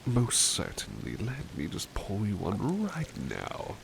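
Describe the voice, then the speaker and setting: A man playing a devoted, polite butler in an ASMR roleplay, speaking softly and hesitantly with courteous formality, often slipping toward a whisper. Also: lowish voice, huge pitch swings, takes it slowly, gravelly, background noise, full character voice. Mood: courteous, warm. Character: devoted, affectionate, polite male butler comforting his companion. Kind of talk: ASMR roleplay, intimate personal attention.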